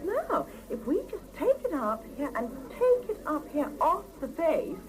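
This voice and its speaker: Formal salon lady, high female voice, lively intonation, officious politeness, old noisy radio tape. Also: measured pace. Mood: gushing. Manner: formal, smooth-talking, professionally polite. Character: gushing, officious lady hairdresser, formally courteous. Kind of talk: 1970s radio drama acting. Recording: noisy mono 1975 radio cassette recording.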